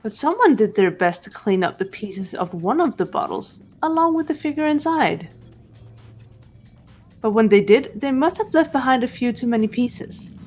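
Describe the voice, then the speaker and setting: A woman voicing a calm, cool-headed young female detective who lays out her reasoning in a fan dub of a game. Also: a little high, soft background music. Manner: measured, explanatory.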